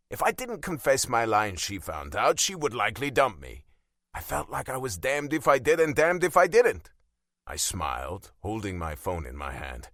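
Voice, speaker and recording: Wry male audiobook narrator, very lively pitch. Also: dry recording, clean studio sound. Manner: wry, self-deprecating, conversational. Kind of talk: first-person romance audiobook narration.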